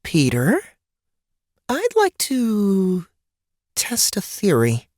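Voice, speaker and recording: A woman's voice: mid-range voice, drawn-out words, no echo, clean studio sound, heavily acted. An actress playing a sly, upbeat wife and mother in a fantasy audiobook, with a playful delivery. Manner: playful, animated. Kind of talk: dramatic audiobook character dialogue.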